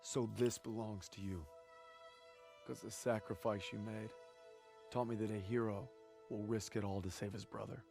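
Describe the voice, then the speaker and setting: A man's voice: on the low side, steady tone, normal speed, gravelly, mellow. An actor playing an earnest, haunted young farm boy soldier from Texas, speaking solemnly and plainly with a Southern accent in a war game. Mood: solemn, reflective. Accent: American South, Texas. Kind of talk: cinematic war game acting with reflective narration. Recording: cinematic music behind the voice.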